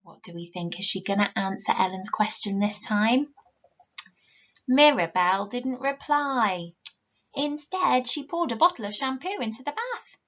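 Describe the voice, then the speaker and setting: A woman's voice: fairly high pitch, animated, measured pace, a bit bright, lo-fi sound. A middle-aged woman, a teacher reading a picture book expressively and questioning young listeners.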